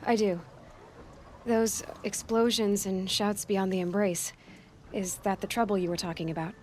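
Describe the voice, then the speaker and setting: An actress voicing a headstrong young outcast huntress in a video game, direct, questioning and determined. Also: female voice, mid-range voice, steady tone, normal speed, a little mellow, a little hiss or hum, lightly acted. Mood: puzzled. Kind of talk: video game dialogue acting.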